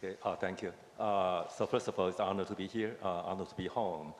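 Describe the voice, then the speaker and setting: Deep-voiced man in his sixties. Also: steady tone, fast, slight background noise. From Taiwan. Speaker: panelist professor. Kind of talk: panel answer to audience. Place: large hall on stage. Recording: echoey hall with long reverb.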